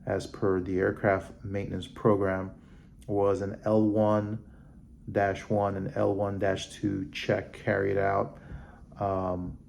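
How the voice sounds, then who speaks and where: A man hosting a vlog, reading a report aloud haltingly and adding off-the-cuff comments. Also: on the low side, monotone, vocal fry, a little mellow, a little hiss or hum. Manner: halting, stumbling over names and terms. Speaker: vlog host.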